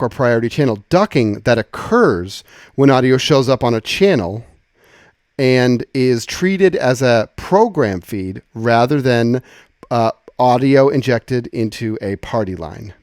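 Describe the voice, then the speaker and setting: A man with a middle-pitched voice presents a casual software tutorial. He talks informally, as if to colleagues, and often rewords his explanations. The sound is dry, with very little room. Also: no room sound.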